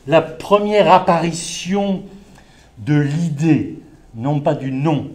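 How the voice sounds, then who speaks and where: A man's voice: a little high, darkish tone. A middle-aged man from southern France giving a conference talk to a live audience, speaking through a face mask that slightly muffles his voice, with frequent hesitations and restarts. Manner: hesitant, many filler sounds and restarts. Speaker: invited speaker, environmental law expert. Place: indoor venue with an audience.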